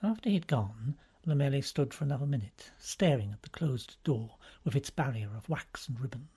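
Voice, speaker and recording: A man's voice: medium pitch, expressive, moderate pace, warm tone, breathy edge. A man reads a novel aloud as scripted audiobook narration.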